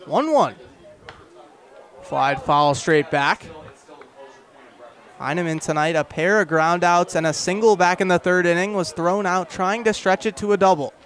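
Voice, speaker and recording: A young man doing live radio baseball play-by-play in clipped phrases that build to excited calls. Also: high voice, measured pace, long pauses. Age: young adult. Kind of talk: live radio sports commentary.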